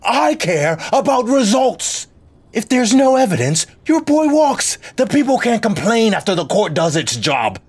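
Guy acting a sarcastic frazzled mayor, husky, slow talker, pitch all over the place. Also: big pitch swings, full character voice. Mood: menacing. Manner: sarcastic, theatrical, drawn-out delivery. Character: sarcastic, frazzled, domineering male small-city mayor. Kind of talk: scripted audio drama acting.

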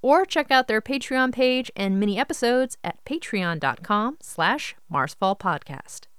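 An upbeat woman presents a scripted show introduction. Her voice is fairly high and clean, with lively swings in pitch, addressed straight to the listener in a dry recording. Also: measured pace, clean tone, dry recording. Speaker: radio show host.

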